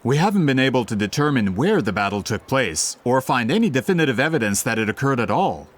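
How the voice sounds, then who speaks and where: A man's voice: mid-range voice, very animated, fast talker, a little hiss or hum, close to an everyday voice. An actor playing a polite, eager book merchant with a theatrical salesman's manner in a video game. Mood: explanatory.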